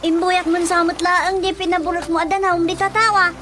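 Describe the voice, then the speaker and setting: A woman's voice: very high voice, flat delivery, fairly quick, background noise. A woman playing a nagging, exclamatory elderly wife and mother in a comic radio drama. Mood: scolding.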